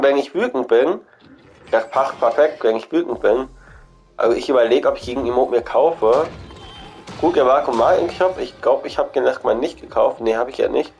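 A man's voice: medium pitch, a little mellow. A man with a cold, chatting casually as he walks through an in-game shop, repeating himself and thinking aloud. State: has a cold. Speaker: gaming channel host.

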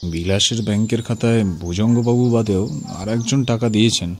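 An actor playing a dutiful, deferential police officer reporting respectfully in an audio drama. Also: male voice, on the low side, steady tone, normal speed, slight background noise, close to an everyday voice. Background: music.

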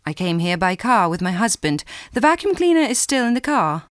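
A woman giving a clear, measured scripted reading for a language listening exam. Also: medium pitch, very animated, no echo, studio quality. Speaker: exam recording reader.

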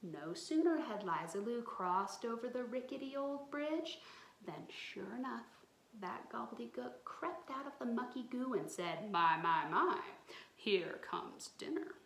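A woman's voice: a little high, animated, a little slow, vocal fry. A woman in her thirties reading a storybook aloud expressively to children, recorded at home on a device at arm's length. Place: small bright room at home. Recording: roomy echo, some background noise.

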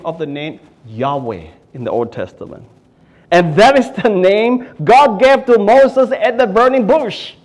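A middle-aged man preaching at a lectern in a small church, swinging between loud cries and hushed prayer. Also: very high-pitched. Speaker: pastor. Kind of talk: sermon. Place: small church hall, indoors. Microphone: stand mic at a lectern.

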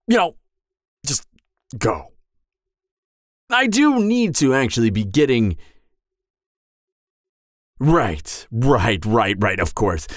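A man's voice: mid-range voice, dry recording, studio quality. A man voicing a friendly, clumsy, apologetic giant in a roleplay audio drama, talking in a hesitant, flustered ramble. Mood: awkward, guilty.

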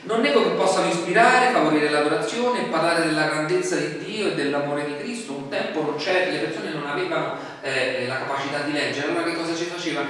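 Male pastor, 40s, chatty sermon delivery, slightly high voice, heard from afar in a very reverberant hall. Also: fast talker, hardly any pauses, a bit bright. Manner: conversational preaching with rhetorical questions and frequent fillers. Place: small church hall, indoors. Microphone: distant camera mic. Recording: echoey hall from far off with faint noise.

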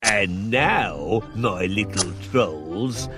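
A wicked sprite master gloats over his evil in a children's storybook. He speaks very slowly and theatrically, with lively swings of pitch, over loud music. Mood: gloating.

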